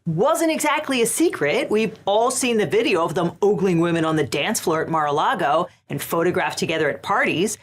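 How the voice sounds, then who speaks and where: Wry, animated woman lawyer commentator. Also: mid-range voice. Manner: wry, sardonic delivery. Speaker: lawyer and show host. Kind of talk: scripted legal commentary.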